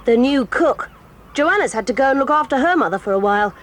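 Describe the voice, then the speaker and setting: An actress voicing a proud, quick-tempered tomboy girl in an audio drama, talking in a brisk, bossy and eager way. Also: high voice, lively intonation, slight background noise. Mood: excited, lively. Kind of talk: 1980s audio drama acting.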